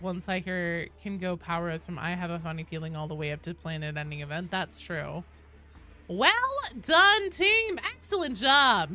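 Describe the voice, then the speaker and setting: Bright-voiced woman streaming games. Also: on the low side. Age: late 30s. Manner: informal, sweary. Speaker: game streamer. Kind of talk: casual livestream chat, reading out viewer messages.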